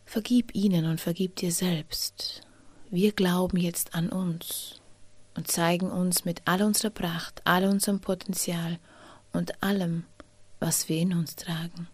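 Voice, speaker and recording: A woman guides a slow meditation, half her words breathed out as a soft whisper. Her voice sits a little low and sounds husky, captured dry and close with no room around it. She lets long pauses fall between short, gentle phrases. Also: steady tone, dry recording. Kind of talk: guided inner-child meditation.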